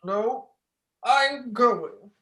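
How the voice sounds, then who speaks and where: A man's voice: very high-pitched, extremely slow, pushed and bright, full character voice. A man playing a flippant, self-centred academic in a staged play reading, glib and dismissive. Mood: glib, curt. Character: Bernard, flippant, self-centred male academic making his escape.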